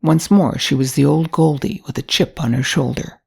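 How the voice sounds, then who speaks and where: Mature woman, gravelly fry, husky tone, measured scripted story narration, older storyteller. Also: sits low, mellow.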